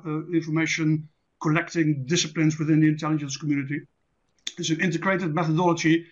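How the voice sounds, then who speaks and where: A man with a Dutch accent, a native Dutch speaker, presenting an online lecture in a measured, deliberate, didactic way. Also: a little high, a bit bright. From Netherlands. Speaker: presenter, intelligence specialist. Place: online presentation with screen share. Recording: dull lo-fi online call audio.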